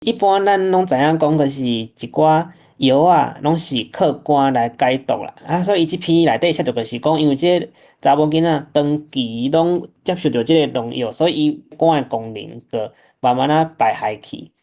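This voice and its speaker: A man guest giving calm explanatory commentary. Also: a little high, normal speed.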